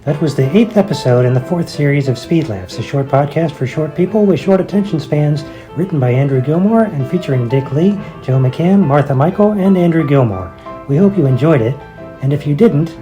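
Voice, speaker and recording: A man's voice: on the high side, expressive, brisk, over music, low-quality recording. A man reading scripted comic closing credits as an announcer, in a tongue-in-cheek manner.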